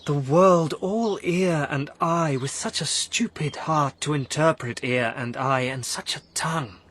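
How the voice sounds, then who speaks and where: Husky, courtly noble knight. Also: male voice, fairly high pitch, measured pace, fairly bright tone. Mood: pained refusal. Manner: formal, declamatory. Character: noble grown knight, courtly, earnest, gently firm. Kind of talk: dramatised verse, audio drama.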